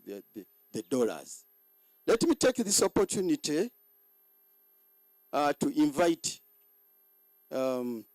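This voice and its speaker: A hoarse man giving a boastful ceremony speech as general manager, halting and repeating words. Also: medium pitch, a little mellow, dry recording, low-quality recording.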